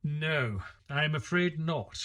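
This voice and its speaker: An actor playing an earnest, uneasy gentleman companion who narrates an audio play dramatically, with a husky voice. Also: a little high, slow, dry recording. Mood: apologetic.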